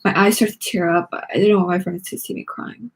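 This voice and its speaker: A woman's voice: on the low side, fairly even intonation, a little fast, husky. A woman reading a children's story aloud with dramatic expression, acting out the lines. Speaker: read-along narrator of a children's book.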